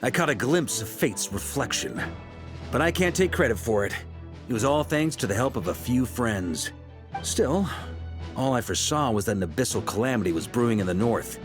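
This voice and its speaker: An actor playing a confident, easygoing seasoned commander in a video game, explaining things in a measured, assured way. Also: male voice, medium pitch, expressive, lightly acted. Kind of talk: video game dialogue acting. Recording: music behind the voice.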